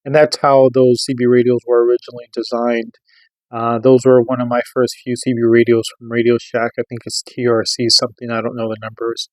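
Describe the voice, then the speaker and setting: A man's voice: medium pitch, monotone, fairly quick, darkish tone, no room sound, low-quality recording. A middle-aged American man giving a casual product review, explaining things in an informal, rambling way. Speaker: radio reviewer.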